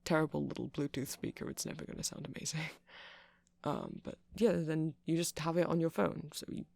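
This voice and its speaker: A man playing a nerdy, friendly vampire shopkeeper in an ASMR roleplay, soft-spoken and gravelly, rambling hesitantly as he explains tech. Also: a little high, fairly even intonation, a little fast, dry recording. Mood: earnest, explanatory. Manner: rambling, hesitant, self-conscious geeky explaining.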